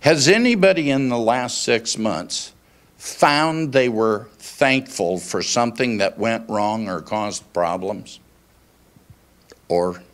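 A man in his sixties preaching conversationally, in deliberate phrases, putting questions to his congregation into a handheld microphone. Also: fairly low pitch. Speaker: pastor. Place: small church meeting room, indoors. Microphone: handheld microphone held at chest.

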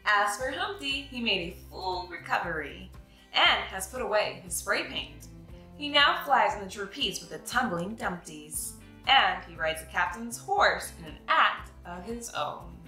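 A young adult woman reading a children's picture book aloud with expressive, dramatic phrasing. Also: mid-range voice, measured pace, roomy sound, faint music behind. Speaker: children's read-aloud host.

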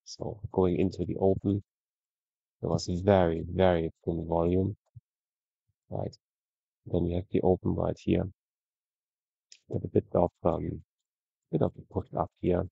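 Gravelly, deep-voiced man reviewing trading charts. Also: unhurried, pauses often, dry recording, narrow, tinny audio. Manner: relaxed, conversational, frequent "right?" tags. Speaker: trading educator. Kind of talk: screen-share trading review.

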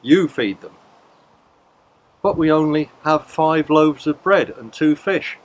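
An older man reading a Gospel passage at a church service in a plain, steady way. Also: on the high side, slow. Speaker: lay reader.

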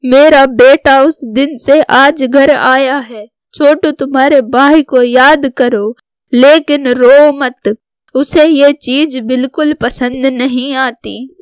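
A devoted older mother from a cartoon family drama , played by a woman. The voice is high and very clear, with a slow, solemn delivery that carries pride. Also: fairly even intonation, no room sound. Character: proud, devoted older mother of soldier sons. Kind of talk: scripted Hindi cartoon story dialogue.

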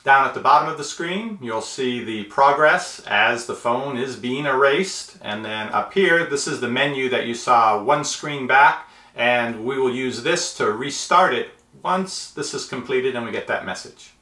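Bright-voiced man giving step-by-step instructions, roomy. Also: mid-range voice, a little slow, hardly any pauses. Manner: methodical, explanatory. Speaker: host of a tech tutorial channel. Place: small indoor room, seated at a table. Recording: roomy indoor echo.

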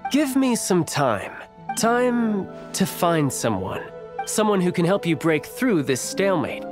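Game role: scheming young agent, suave, teasing, theatrical, music behind. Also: male voice, high voice, big pitch swings, measured pace. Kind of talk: video game voice acting.

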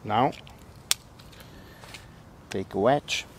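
Slow-talking man outdoors. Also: lowish voice, a little hiss or hum. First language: German. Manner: plain, friendly, step-by-step explaining. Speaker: bushcraft instructor. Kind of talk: outdoor tutorial demonstration. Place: forest outdoors.

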